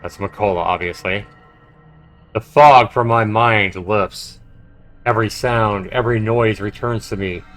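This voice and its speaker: Man, fairly deep voice, livestreamer, dramatic visual novel read-aloud, casual commentary, soft game music. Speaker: livestreamer playing and narrating a game.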